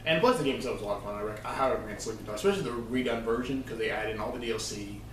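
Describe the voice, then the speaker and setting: Man, quick pace, casual opinionated rambling, video co-host, far from the mic, slight noise. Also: on the low side. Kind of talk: casual unscripted gaming discussion. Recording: roomy, distant mic, faint noise.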